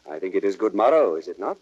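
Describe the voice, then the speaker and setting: Lively, mocking young prince, swooping pitch. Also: male voice, muffled recording, heavily acted. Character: jovial, mocking young prince, heir to the throne. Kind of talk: 1930s radio Shakespeare acting.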